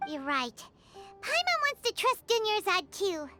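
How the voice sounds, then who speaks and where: Game fairy sidekick, tiny and childlike: very high squeak, animated pitch, earnest and hesitant, loud music behind. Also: female voice, measured pace, full character voice. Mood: doubtful. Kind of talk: video game story dialogue.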